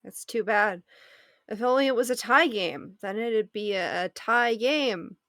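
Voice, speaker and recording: A woman's voice: on the high side, unhurried, a bit bright, no room sound, clean studio sound. A woman acting an affectionate, teasing wife in a naturalistic audio drama table read, conversational and playful. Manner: conversational, playful, explains with examples. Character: affectionate, teasing wife consoling and humoring her partner.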